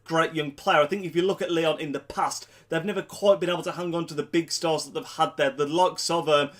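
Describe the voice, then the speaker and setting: A man's voice: fairly high pitch, steady tone, a little fast. A young man with a blocked nose from a cold, talking through a football match preview in a chatty vlog, doubling up words for emphasis. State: congested with a cold. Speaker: football fan vlogger.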